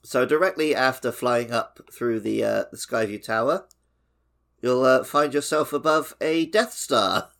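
A man's voice: medium pitch, measured pace, vocal fry, a bit bright. A man co-hosting a gaming podcast, chatting casually in a loose, conversational way. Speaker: podcast co-host.

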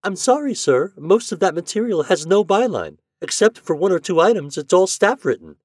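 A man's voice: high voice, big pitch swings, moderate pace, husky, no room sound, studio quality, pitched above the performer's own voice, exaggerated voice. A man reading a story, voicing a polite, formal female library operator who speaks apologetically.

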